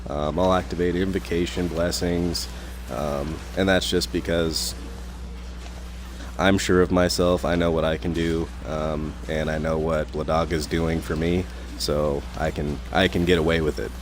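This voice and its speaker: Deep-voiced man, slightly raspy gamer. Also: little pitch movement, a little slow, noisy background. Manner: rambling, many fillers and restarts. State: slightly raspy from long talking. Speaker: gameplay commentator. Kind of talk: casual game commentary.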